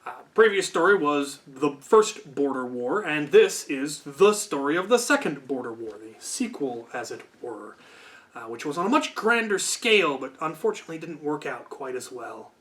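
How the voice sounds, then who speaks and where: A man's voice: on the high side, sing-song, normal speed, a bit bright. A man hosting a storytelling channel, talking in a casual, unscripted, discursive way with wry asides.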